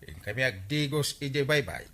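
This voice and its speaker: An actor playing a flustered, bumbling village captain in an Ilocano radio comedy drama, exclaiming and stammering with an Ilocano accent. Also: male voice, medium pitch, big pitch swings, moderate pace, noisy background. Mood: sheepish, nervous. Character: blustering, excitable village captain, a comic bumbler.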